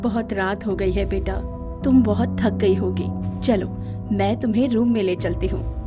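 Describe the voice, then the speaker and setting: A woman's voice: mid-range voice, fairly even intonation, measured pace, over music, natural voice. An actress playing a kind, motherly middle-aged aunt in an audio drama, with a caring way of talking.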